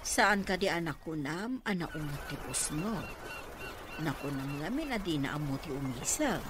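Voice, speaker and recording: A woman's voice: deep voice, expressive, moderate pace. A woman playing an older provincial mother who fondly pleads with her grown daughter, in Ilocano-accented radio drama acting. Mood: fond, fussing. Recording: noisy radio drama recording.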